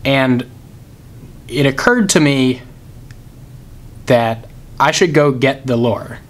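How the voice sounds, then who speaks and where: A man's voice: medium pitch, slow pace. A young man in his twenties talking casually while fishing from a waterfront dock, filmed on an action camera. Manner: excited, frustrated. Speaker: fishing vlogger. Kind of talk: casual vlog narration. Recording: outdoor camera with ambient noise.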